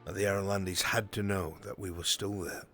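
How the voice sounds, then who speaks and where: A man playing a grave, fatherly older military commander in a fantasy roleplay, speaking in a measured, solemn, counselling way. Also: deep voice, level tone, sharp tone. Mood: solemn, consoling. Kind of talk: live fantasy roleplay, improvised dramatic acting. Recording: music behind the voice.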